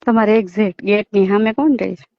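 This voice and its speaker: A woman's voice: medium pitch, fast, no room sound. A woman chatting casually on a phone call, coy and evasive, on a lo-fi recording. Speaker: phone caller. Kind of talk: casual private phone chat.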